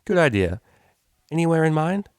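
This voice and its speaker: A man's voice: fairly high pitch, big pitch swings, normal speed, clean tone, no room sound, studio quality, voice pushed up. A man voicing a cheeky, mischievous female unicorn DJ pony, casual and teasing, in a story reading. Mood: awkward, casual.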